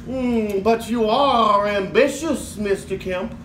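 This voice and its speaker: A man on stage playing a sly, bombastic prosecutor with sneering, drawn-out courtroom oratory. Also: high voice, a bit bright. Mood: sly, probing. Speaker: actor in a stage play. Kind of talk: stage adaptation of a 1950s radio drama. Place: live theatre stage. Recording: echoey theatre with background noise.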